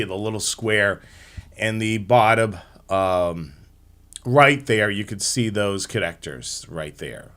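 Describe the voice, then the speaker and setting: A man's voice: on the low side, a bit bright. A man hosting a live hobby show, explaining things step by step in an informal slideshow presentation.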